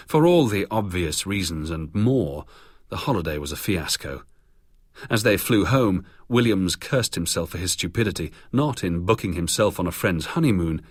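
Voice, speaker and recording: A man's voice: low-pitched, dry recording, clean studio sound. A British man narrating a scripted biography for radio.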